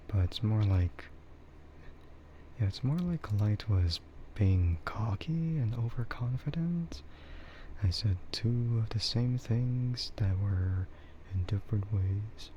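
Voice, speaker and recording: A man livestreaming casual ASMR chat in a hushed, rambling way that often slips toward a whisper. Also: slow pace. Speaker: livestream host. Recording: muffled low-fidelity mic with clear background noise.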